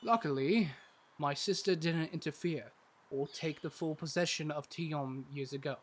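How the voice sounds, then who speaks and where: A man playing an ancient, mocking villain with grandiose, drawn-out delivery in an audio drama. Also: a little high, lightly acted. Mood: sly, amused.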